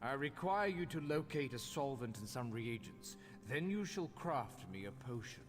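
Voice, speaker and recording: An actor voicing a haughty, scholarly master craftsman in a fantasy video game, speaking in a formal, measured, instructional way. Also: medium pitch, expressive, lightly acted. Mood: instructive. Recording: long hall reverb, faint music behind.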